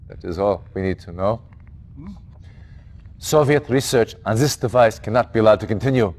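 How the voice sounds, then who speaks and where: A man's voice: on the low side, normal speed, darkish tone. An actor playing an authoritative male commanding officer who briefs and orders his commander in a game cutscene. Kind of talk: video game cutscene acting.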